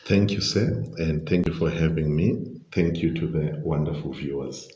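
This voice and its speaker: A middle-aged man with a Zimbabwean accent, giving measured, genial, explanatory answers as an interview guest. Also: extremely low pitch, warm tone. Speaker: podcast guest, leadership coach and pastor. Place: restaurant. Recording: dull, roomy, echoing sound.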